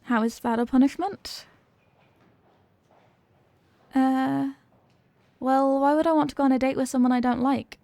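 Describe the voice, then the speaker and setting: A woman plays a popular cheerleader girl in an ASMR roleplay, talking one-on-one to her tutor. Her voice is fairly high with a creaky edge, recorded close and dry. She is evasive and easily flustered, hesitating and getting defensive. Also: steady tone, unhurried, long pauses, mellow, no echo. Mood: haughty, teasing. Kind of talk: ASMR roleplay, acted one-sided conversation.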